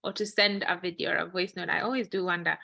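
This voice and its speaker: Woman moderator, crisp bright voice, conversational, dry close sound. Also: on the low side, fairly even intonation, quick pace, no echo. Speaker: session moderator. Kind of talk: reading audience questions.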